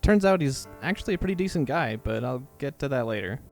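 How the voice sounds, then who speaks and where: A man's voice: mid-range voice, lively intonation, fast talker, darkish tone, faint music behind, natural voice. Man reading as downcast young mobster confessing his past. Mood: rueful, confessional. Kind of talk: dramatic reading of fan fiction.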